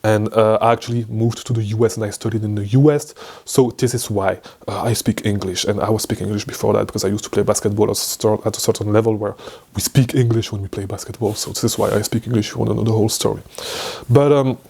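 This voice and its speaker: A man's voice: on the low side, fairly even intonation, quick pace, vocal fry, dark tone, slightly rough. A young man from Belgium whose first language is French, speaking English with a Belgian French accent, chatting loosely to camera as an online business coach, rambling with fillers and restarts. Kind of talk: casual freestyle talk to camera.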